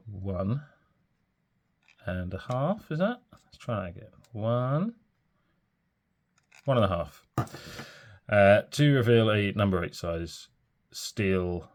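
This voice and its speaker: A man reviewing a fountain pen in a chatty, rambling way, full of ums and small corrections, a little throaty and bunged up from a cold. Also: low-pitched. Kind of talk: casual product review.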